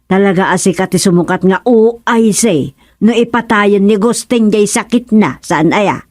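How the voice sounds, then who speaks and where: A woman's voice: mid-range voice, moderate pace, darkish tone, no echo, lightly acted. Female actor as blunt needling village wife, pointed questioning, close dry sound, Ilocano Filipino accent, radio comedy drama.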